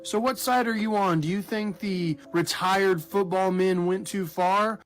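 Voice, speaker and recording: A man's voice: high-pitched, steady tone, measured pace. A man narrating a short social media video in a scripted, explanatory style. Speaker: video commentator.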